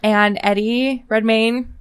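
A woman's voice: a little high, steady tone, slow pace, clean tone. A woman co-hosting a podcast, talking casually and enthusiastically about books, often repeating phrases for emphasis. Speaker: podcast co-host. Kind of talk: casual book chat.

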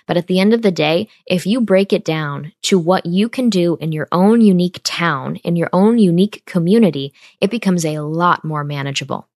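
A woman's voice: on the low side, moderate pace, few pauses, a little mellow, no room sound, studio quality. A woman in her twenties from upstate New York, hosting a podcast and talking earnestly and directly to her listeners. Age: 20s. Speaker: podcast host. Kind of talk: casual monologue.

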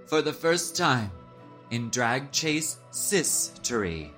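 A man's voice: medium pitch, sing-song, takes it slowly, a bit bright. A man playing a pompous, ratings-obsessed drag competition host in a campy comic radio play, announcing with grand melodrama. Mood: pompous, dramatic. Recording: music under the voice.

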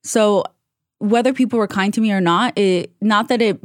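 A woman's voice: medium pitch, moderate pace, no echo, studio quality. A young adult woman born in Russia and raised in Israel, telling her story as a podcast guest in a candid, chatty way. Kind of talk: casual interview chat.

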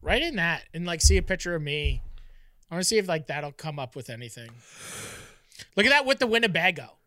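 A man's voice: fairly high pitch, sing-song, brisk, bright, forceful tone, dry recording. A man in his thirties co-hosting a podcast, bantering casually and bluntly, often repeating himself insistently. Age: 30s. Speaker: podcast co-host.

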